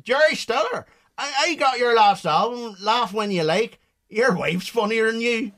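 A gentle, proud elderly father in a radio drama, played by a man. He speaks in a high voice, haltingly, doubling back on his words. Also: animated, heavily acted. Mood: pleased.

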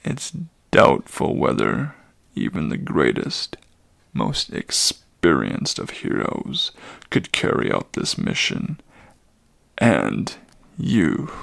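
A man voicing a very old, weary male centaur with a deep, gravelly voice, pleading slowly and heavily in a dramatic storybook reading. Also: a bit bright, no echo, studio quality, exaggerated voice. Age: older.

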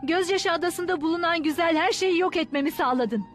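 A woman's voice: very high-pitched, fairly quick, faint music behind. A woman playing a proud, earnest sorceress in a dubbed cartoon, with dramatic, emphatic delivery. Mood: defiant.

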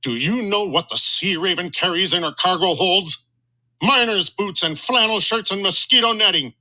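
A man voicing a blustering, gruff middle-aged sea captain who roars his lines. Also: on the high side, lively intonation, normal speed, bright, forceful tone, heavily acted, rough recording. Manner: roaring, blustery.

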